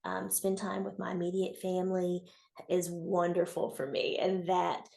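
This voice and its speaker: Young woman nurse, low-ish even voice, measured. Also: creaky. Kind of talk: interview answers. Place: home room. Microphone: video call webcam.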